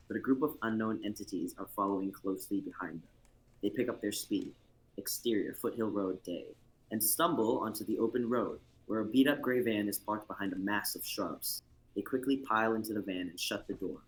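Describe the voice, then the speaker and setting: A man's voice: lowish voice, fairly quick, fairly bright tone, rough recording. A young man narrating a screenplay as host of an amateur livestreamed reading. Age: young adult.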